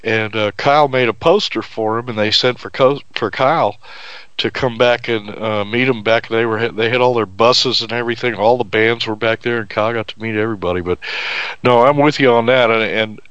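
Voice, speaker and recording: A middle-aged man co-hosting a radio show, chatting in a friendly way. His voice is smooth and mid-pitched. It sounds dry and dull, like lo-fi radio. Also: steady tone, brisk, fairly bright tone, no room sound. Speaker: radio co-host. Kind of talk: radio interview.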